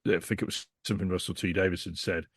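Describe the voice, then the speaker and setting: A man with a fairly low voice, recorded dry with no room sound. He talks as a podcast guest in a casual, opinionated and blunt conversational way. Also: steady tone, fast, slightly rough, no echo. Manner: blunt, sweary.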